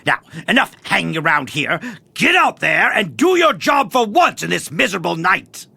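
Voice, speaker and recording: Pompous millionaire, swooping and creaky. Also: male voice, high voice, very animated, normal speed, pushed and bright, no echo, shouting, full character voice. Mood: dismissive, irritated. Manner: pompous, theatrical, scolding. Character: pompous, haughty, irascible millionaire businessman. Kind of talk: noir audio drama acting.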